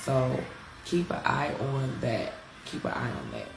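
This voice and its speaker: Congested, gravelly woman teaching astrology. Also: a bass voice, wildly swooping pitch, measured pace, reverberant, over music, poor audio. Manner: chatty, frank, curses freely, addresses viewers as y'all. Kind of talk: casual live-stream astrology talk. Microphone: live-stream device mic.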